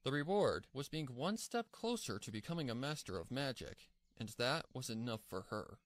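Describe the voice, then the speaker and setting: A man's voice: mid-range voice, big pitch swings, normal speed, dry recording. A man narrating a scripted story as its narrator.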